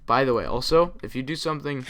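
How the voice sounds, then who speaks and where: A young man hosting a gaming channel, tired and a bit raspy, rambling casually to himself as he plays. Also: medium pitch, fast, fairly bright tone. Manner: rambling, talks to himself while playing. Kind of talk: casual gameplay commentary. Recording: clear typing noise behind. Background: keyboard typing and clicks.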